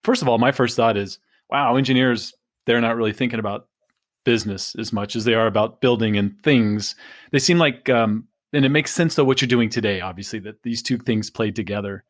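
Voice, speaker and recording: A man's voice: medium pitch, brisk. A man who hosts a podcast, asks questions and reacts in a friendly way. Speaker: podcast host. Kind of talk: casual interview talk.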